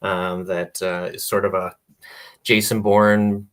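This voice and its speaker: A man, a guest author, talking in a relaxed interview with many pauses and fillers and frequent laughs. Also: sits low, smooth. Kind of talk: casual interview conversation. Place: radio or podcast interview.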